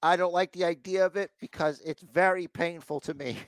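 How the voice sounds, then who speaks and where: A man's voice: high-pitched, steady tone, fairly quick, no room sound. Congested, rambling man on a podcast. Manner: rambling, puts on mocking voices for quotes. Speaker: podcast co-host recapping wrestling. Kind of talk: casual chat.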